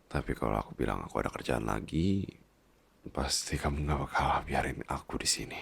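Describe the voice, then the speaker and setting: Male ASMR performer, low voice, hushed and caring, narrating and roleplaying. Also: fairly quick, vocal fry, slight background noise. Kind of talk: soft intimate ASMR roleplay and storytelling.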